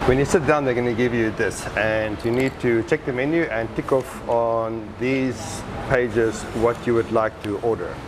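A man's voice: mid-range voice, moderate pace, hardly any pauses, noisy background. A middle-aged man from Africa, eagerly reviewing a restaurant buffet to camera while he eats. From South Africa. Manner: enthusiastic, talks to camera while eating. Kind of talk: food review vlog. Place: indoor restaurant by a busy street.

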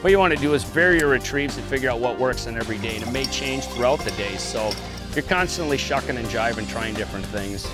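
A man's voice: medium pitch, fast talker. A man with a folksy, colloquial way of talking, demonstrating and chatting as an angler on a fishing show, outdoors on a boat. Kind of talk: fishing demonstration and casual chat. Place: outdoors on a boat on open lake. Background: music.

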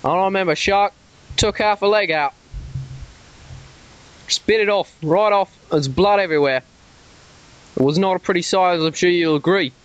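A man's voice: high voice, moderate pace, pauses often. A man with an Australian accent, rambling and stammering through a prank phone call, swearing when he gets worked up. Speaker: prank caller. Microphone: telephone.

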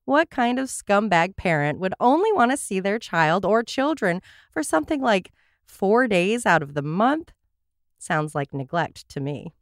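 A woman's voice: mid-range voice, big pitch swings, unhurried, no echo, clean studio sound. A woman hosting a true crime podcast, reading her case notes with wry, sarcastic asides. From Portland area, USA.

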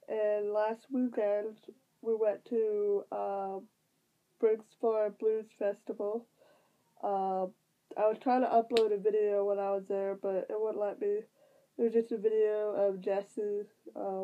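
A young woman with a stuffy nose, rambling casually through a vlog update while lying on her bed. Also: mid-range voice. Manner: rambling with many fillers and restarts. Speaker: vlogger. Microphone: device camera at close range. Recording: tinny phone-like sound.

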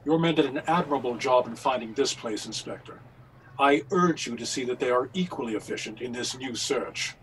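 A man's voice: medium pitch, brisk, fairly bright tone, lightly acted, rough recording. A man acting an urgent, commanding reverend detective. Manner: formal, articulate, insistent. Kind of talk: radio mystery acting. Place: indoor home video call. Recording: faint noise on video call.

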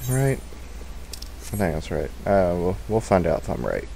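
A man's voice: deep voice, big pitch swings, unhurried, vocal fry, warm tone, a little hiss or hum, rough recording. A man giving casual, rambling gameplay commentary as a let's play host, with a self-deprecating manner.

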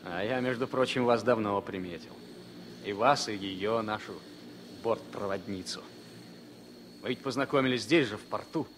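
Low-voiced, wry former military pilot played by an actor. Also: male voice, a bit bright, a little hiss or hum, natural voice. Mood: teasing. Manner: halting, informal, needling. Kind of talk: Soviet radio drama acting.

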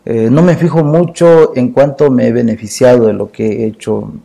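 A Mexican man in his fifties, a poet and chronicler, speaking in a measured, earnest way as an interview guest in a dark studio. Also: medium pitch, fairly even intonation, gravelly. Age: 50s. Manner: measured, earnest, lists ideas in phrases. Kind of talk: reflective interview talk.

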